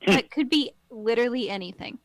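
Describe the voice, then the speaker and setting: Giggly woman player, higher voice. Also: a little fast, a bit bright, no echo. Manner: giggly, hesitant, lots of likes. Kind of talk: casual tabletop game play.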